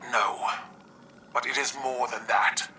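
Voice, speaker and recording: Casting: proud alien war leader, very tense bright voice, solemn, formal, heard at a distance. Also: male voice, mid-range voice, level tone, slow pace, a little hiss or hum. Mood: grave, troubled. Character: proud, grave veteran alien warrior ruler. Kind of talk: dramatic video game acting.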